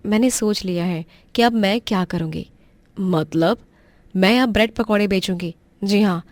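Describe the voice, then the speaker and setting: A woman's voice: medium pitch, darkish tone, dry recording, lightly acted. Woman acting kind, earnest young housewife. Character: kind, resourceful young married woman, eager to help.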